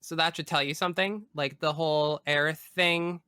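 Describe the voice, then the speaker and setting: A man with a fairly high, bright voice talks as a podcast guest in a casual, enthusiastic way. His delivery is effusive and emphatic, with a smooth, clear tone and a dry sound. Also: fairly even intonation, normal speed, no echo. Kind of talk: casual enthusiastic chat about video games.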